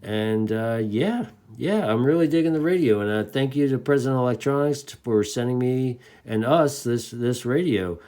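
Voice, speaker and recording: Regular guy doing a gear review, folksy and into it, mid pitched voice, informal. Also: animated, moderate pace, few pauses, warm tone. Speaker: radio reviewer. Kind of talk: casual product demonstration.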